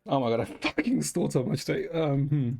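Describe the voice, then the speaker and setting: A man's voice: on the high side, fairly quick, creaky, darkish tone, slightly rough. A man from Ecuador chatting casually to his live stream viewers in a rambling, informal way, swearing freely. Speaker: livestreamer. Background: music.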